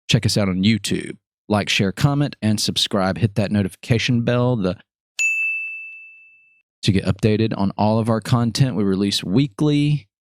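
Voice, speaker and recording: A man with a very low voice hosts a casual podcast chat, rambling cheerfully and stumbling over his own words. His voice sounds dry and close to the mic, with no room sound. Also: dry recording. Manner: rambling, self-correcting, upbeat. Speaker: podcast host.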